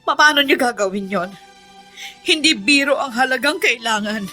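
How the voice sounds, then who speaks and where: A woman playing a gentle, resigned, ailing middle-aged mother in a Filipino radio drama, with a Filipino accent. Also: on the high side, lively intonation, moderate pace, faint music behind. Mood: distressed.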